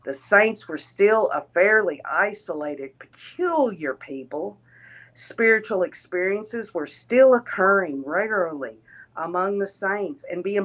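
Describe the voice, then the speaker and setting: A woman reading a written first-person account aloud in a measured way, occasionally stumbling over words. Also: medium pitch, poor audio.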